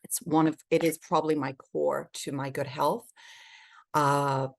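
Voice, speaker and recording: Effusive low-pitched woman podcast guest. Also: little pitch movement, a little slow, vocal fry. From Switzerland and England. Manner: effusive, emphatic. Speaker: podcast guest, health advocate. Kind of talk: conversational interview.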